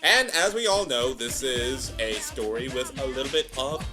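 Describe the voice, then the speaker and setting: Man, reaction host, casual comedic delivery, hammy stretched-out asides, mid pitch, loud music underneath. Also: expressive. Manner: playful, hammy drawn-out asides. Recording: music playing behind the voice. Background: music and audio drama playback.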